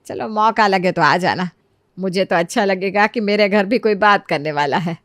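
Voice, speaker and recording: A woman voicing a kindly, motherly middle-aged lady who reasons gently in a moral storybook tale. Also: mid-range voice, moderate pace, dry recording, voice pushed down, close to an everyday voice. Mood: welcoming. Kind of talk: animated moral storybook dialogue.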